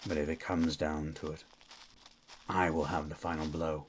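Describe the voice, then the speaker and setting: A man playing a stern, menacing monster hunter in an ASMR roleplay, with a low, gruff voice and a terse, threatening manner. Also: little pitch movement, moderate pace, a little hiss or hum. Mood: weary, threatening. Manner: terse, threatening, grunts hmm.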